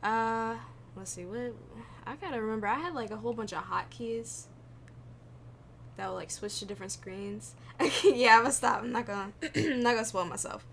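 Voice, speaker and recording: A woman's voice: on the high side, expressive, normal speed, vocal fry, fairly bright tone, a little hiss or hum. A woman streaming games, chatting informally and muttering as she thinks aloud in reaction to what she watches. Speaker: game streamer. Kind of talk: casual stream chat, reacting to a recap video.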